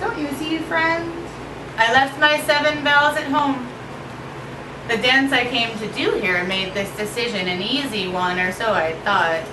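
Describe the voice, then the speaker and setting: A woman poet giving an expressive live poetry reading at an evening event. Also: unhurried. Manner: expressive, shifting pitch and pace for effect. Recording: roomy echo from far off with noise.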